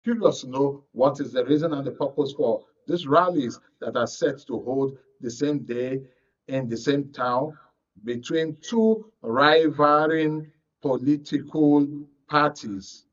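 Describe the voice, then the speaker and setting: A man with a Nigerian accent hosting a talk programme, introducing it in halting, measured phrases. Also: mid-range voice. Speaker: radio and TV talk show host. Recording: slightly dull, muffled broadcast sound.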